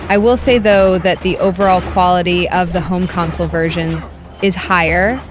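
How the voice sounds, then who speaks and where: Female game reviewer, lower register, scripted voice-over delivery over clearly audible music. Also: fairly even intonation, normal speed.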